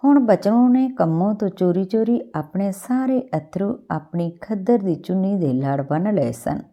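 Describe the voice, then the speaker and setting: A woman with a Punjabi accent reading a scripted literary story in an expressive, unhurried way. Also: mid-range voice, highs cut off. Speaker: storyteller, host of a story channel.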